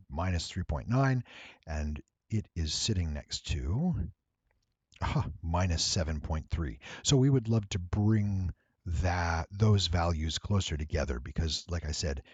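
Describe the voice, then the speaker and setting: A man giving a casual, rambling software walkthrough, thinking aloud and playfully addressing his viewers. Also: low-pitched, big pitch swings, a little slow, husky, dry recording. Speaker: tutorial presenter and voice actor.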